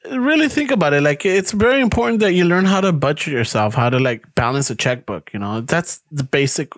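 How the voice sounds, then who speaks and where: A man's voice: fairly high pitch, animated, fairly quick, no room sound, clean studio sound. Hoge stem, man van midden veertig. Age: 40s. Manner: swearing, rambling, says "like" and "dude" a lot. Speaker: podcast co-host. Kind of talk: casual profane chat, mixing English and Spanish.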